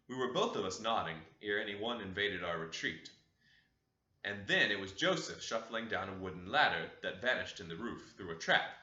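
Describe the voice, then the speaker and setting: A man reading a classic novel aloud as an audiobook, in an expressive, dramatic way, recorded in an echoey room with dull sound. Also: on the low side. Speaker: audiobook reader.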